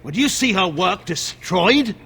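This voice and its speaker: A man's voice: high-pitched, lively intonation, measured pace, vocal fry, sharp tone, slight background noise, full character voice. A man playing a scornful, imperious general and regent in a fantasy game, speaking in formal oratory. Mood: grave, urgent. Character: stern, commanding male regent and general, scornful. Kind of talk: dramatic fantasy game acting.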